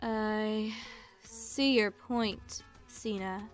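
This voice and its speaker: A woman's voice: mid-range voice, steady tone, drawn-out words. A woman playing a haughty, composed noblewoman in an audio drama, formal and politely condescending. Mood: defensive. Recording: music under the voice.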